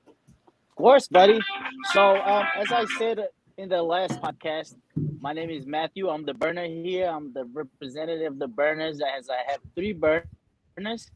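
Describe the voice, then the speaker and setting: A man's voice: a little high, a little slow, a little hiss or hum, rough recording. A man from Brazil, a guest in a casual online chat, talking in a hyped, friendly way and calling people brother.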